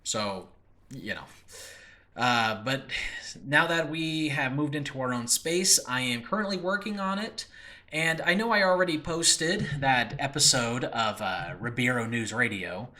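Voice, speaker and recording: Bright-voiced American man podcasting casually. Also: mid-range voice, expressive, a little slow. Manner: rambling, informal, frequent "you know" asides. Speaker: podcast host and audio drama creator. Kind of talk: casual solo podcast talk. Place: room in a new apartment, makeshift recording space.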